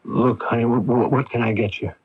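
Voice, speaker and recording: A man's voice: mid-range voice, fairly even intonation, brisk, slightly rough, no echo, natural voice. An actor playing an anxious, reticent middle-aged father in a radio drama, hesitant and pressing, stammering at times. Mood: gently worried. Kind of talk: radio drama acting with first-person narration. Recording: dull noisy AM radio broadcast recording.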